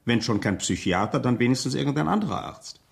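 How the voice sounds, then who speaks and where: Creaky-voiced, formal police inspector, male. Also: mid-range voice, lively intonation, fast talker. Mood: probing, firm. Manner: formal, matter-of-fact, firm. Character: polite, probing police inspector, a man. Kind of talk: radio drama acting.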